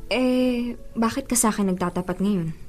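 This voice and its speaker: A woman playing an affectionate, playfully insistent young girlfriend in a radio drama, expressive and coaxing. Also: medium pitch, moderate pace, faint music behind, natural voice. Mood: questioning softly. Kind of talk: Filipino radio drama acting.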